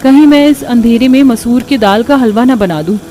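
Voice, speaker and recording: A woman's voice: a little high, moderate pace, dark tone, natural voice. A woman voicing an anxious, plaintive young bride who exclaims in distress in a cartoon.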